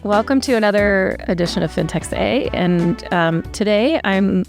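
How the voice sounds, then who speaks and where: A woman's voice: medium pitch, moderate pace, creaky, faint music behind. A woman hosting a podcast, conversational and friendly with some fillers. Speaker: podcast host.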